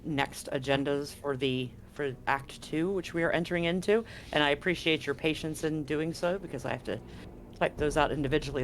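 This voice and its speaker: A game master narrating a live tabletop sci-fi game in a halting way, pausing mid-phrase. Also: medium pitch. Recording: music under the voice. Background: background music.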